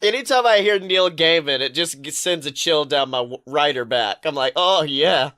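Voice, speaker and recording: A woman's voice: low voice, animated, moderate pace, fairly bright tone. A woman co-hosting a podcast in casual, slangy chat, reacting readily to a friend. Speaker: podcast co-host.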